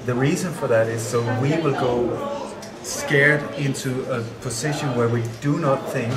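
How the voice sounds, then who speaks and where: A man's voice: mid-range voice, fairly even intonation, a little slow. A man who grew up in Denmark and Sweden, giving an earnest, emphatic interview at a conference and repeating his key words. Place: conference venue indoors. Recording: echoey conference venue with crowd. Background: loud crowd chatter.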